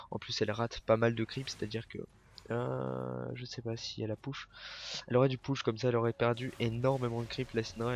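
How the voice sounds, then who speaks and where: A young man with a cold, commentating a video game match alone, hesitant, with many fillers and self-corrections. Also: medium pitch. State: has a cold, coughing. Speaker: esports caster.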